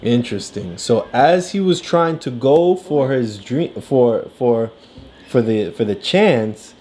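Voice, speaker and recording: A woman's voice: very deep voice, lively intonation, slow, dark tone, a little hiss or hum. A woman talking a child through a picture book, encouraging and lecturing, often checking in with "right?". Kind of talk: casual coaching talk about a story.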